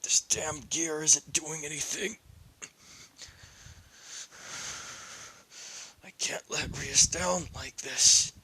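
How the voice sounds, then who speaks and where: A man's voice: on the high side, unhurried, creaky, sharp tone, breathy edge, full character voice, low-quality recording. A man voicing a brash, cursing young fighter. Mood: desperate. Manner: blunt, cursing, strained.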